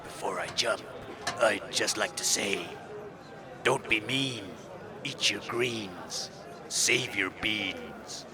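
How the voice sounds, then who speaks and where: A man playing a solemn, croaky frog-man swamp diver in a comedy audio drama, grandly delivering slow rhymes from afar. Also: on the low side. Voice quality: croaky, gravelly. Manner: slow, ceremonious rhyming pronouncements. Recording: distant outdoor voice amid crowd noise.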